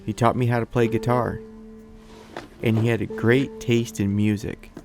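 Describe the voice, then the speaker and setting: A man's voice: mid-range voice, little pitch movement, normal speed, music in the background. A man in his forties talking casually and reflectively as a video creator, sharing personal thoughts. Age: 40s. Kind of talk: casual talk to viewers and reflective personal narration.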